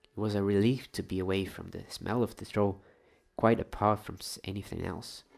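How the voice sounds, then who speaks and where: A man reading a bedtime story in a soft-spoken, unhurried ASMR style. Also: on the low side, fairly even intonation, vocal fry. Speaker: host of an ASMR story channel.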